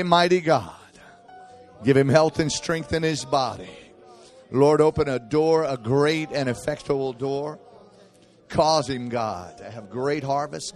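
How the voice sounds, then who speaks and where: A man's voice: medium pitch, darkish tone. A man pastor leading a church congregation in fervent prayer.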